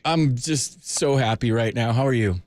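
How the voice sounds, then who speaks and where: A man's voice: medium pitch, normal speed, a little mellow, no room sound. An American man hosting a sports call-in radio show, chatting casually in an informal, joking, slangy way on a close studio mic. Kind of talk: casual chat with callers. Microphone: close studio microphone.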